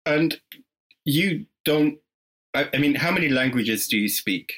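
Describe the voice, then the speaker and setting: A man co-hosting a casual podcast chat, asking slow curious questions. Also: on the high side, animated, fairly bright tone.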